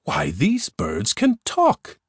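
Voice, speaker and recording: A man's voice: mid-range voice, huge pitch swings, slow, slightly rough, no echo, clean studio sound, voice pushed up, heavily acted. A man voicing a young prince who is earnest, determined and curious, in a storybook. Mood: astonished.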